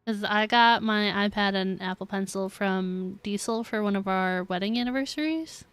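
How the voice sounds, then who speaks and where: Casual woman streamer, medium pitch. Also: normal speed, fairly bright tone, clean tone. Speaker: game streamer. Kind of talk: casual livestream chat and reactions. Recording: game music behind the voice.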